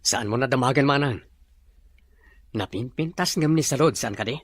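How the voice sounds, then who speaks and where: A man acts as a well-off young father in an audio drama. His voice is husky and sits at a middle pitch, with a gentle, coaxing way of talking. The recording is dry and close. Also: moderate pace, no echo, close to an everyday voice. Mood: earnest. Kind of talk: Ilocano radio-style drama acting.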